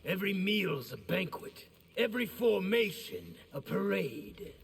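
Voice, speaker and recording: An actor voicing a proud, boastful soldier warlord with a gravelly voice and bombastic military manner in a video game. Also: male voice, high-pitched, very animated, unhurried, exaggerated voice. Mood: boastful, menacing. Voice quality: heavily gravelly. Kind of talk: video game fight intro acting. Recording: reverberant hall, faint background noise.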